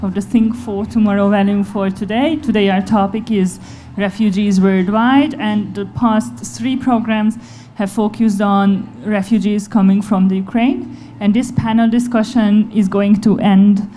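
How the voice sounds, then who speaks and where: Mellow woman moderating a panel. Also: mid-range voice, fairly even intonation, measured pace. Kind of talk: introducing a panel discussion. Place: live festival panel. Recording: faint background noise, live event ambience.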